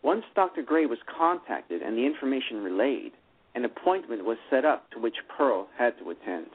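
Husky guy reading a story out, stumbling and restarting, tinny phone-line sound. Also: mid-range voice, big pitch swings. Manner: halting, with frequent restarts. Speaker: narrator. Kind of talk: reading a story aloud in a radio play.

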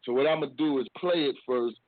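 A man's voice: mid-range voice, a little fast, no room sound. An American man hosting a casual hip hop radio interview, slangy and given to repeating his phrases. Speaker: radio show host. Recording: narrow phone-like radio sound, dry.

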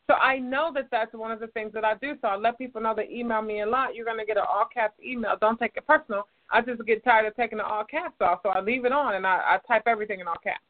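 A woman co-hosting a casual advice talk, emphatic and slowing down to stress her points. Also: a little high. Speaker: co-host and speaker. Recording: phone-like narrow audio.

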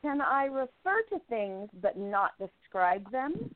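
A woman's voice: fairly high pitch, very animated, slow pace, a little hiss or hum. A woman playing an independent young newspaperwoman of the 1920s in a radio play, with an earnest, emphatic delivery. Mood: businesslike. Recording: tinny phone-like line, roomy echo.

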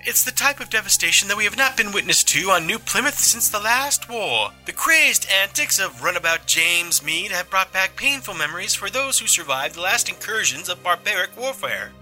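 A man's voice: sits high, big pitch swings, normal speed, few pauses, pushed and bright, soft background music, pitched above the performer's own voice, exaggerated voice, rough recording. A man voicing a solemn female news reporter delivering a grim broadcast.

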